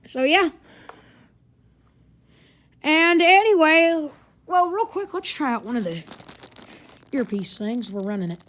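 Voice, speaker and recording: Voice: mid-range voice, a bit bright, a little hiss or hum. A person giving a casual, rambling hands-on gadget review in an informal way. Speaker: product reviewer. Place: carpeted home living room.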